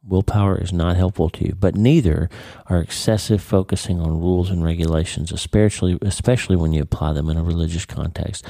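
Congested low-voiced man chatting. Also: fairly even intonation, a little fast, few pauses, mellow, no echo, studio quality. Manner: conversational, repeats words while thinking. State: congested, stuffy nose. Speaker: podcast host and neurosurgeon. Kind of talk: casual solo podcast talk.

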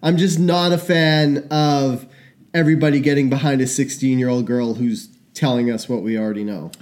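A young man co-hosting a podcast in casual chat, animated and quick to laugh. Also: medium pitch. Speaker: podcast co-host.

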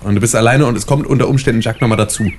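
A man's voice: mid-range voice, rapid-fire, a little mellow. A man improvising a roleplay story in casual chat, stammering and repeating words as he goes. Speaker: tabletop roleplay player. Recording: faint noise behind voice.

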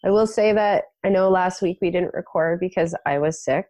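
A woman co-hosting a casual podcast, still a little nasal and stuffed up from a cold, reacting quickly. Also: fairly low pitch, warm tone, no room sound. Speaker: podcast co-host.

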